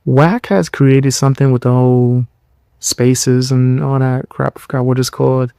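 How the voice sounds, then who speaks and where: A tired young man hosting a podcast, giving blunt, mocking commentary in loose slang with a low voice. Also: flat delivery, normal speed, gravelly, warm tone, smooth, no echo, clean studio sound. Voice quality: lower voice. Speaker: podcast host. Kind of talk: casual commentary.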